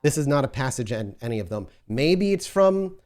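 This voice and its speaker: A man's voice: medium pitch, very animated, normal speed, darkish tone. A man running a tabletop role-playing game as storyteller, narrating scenes and answering players, often restarting his sentences. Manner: descriptive, restarts mid-sentence.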